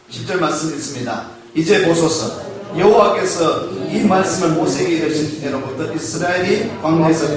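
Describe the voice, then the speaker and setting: A male pastor preaching a Sunday sermon, asking the congregation questions and slowing down to stress key phrases. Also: on the high side. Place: church sanctuary. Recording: echoey church hall, mic far off.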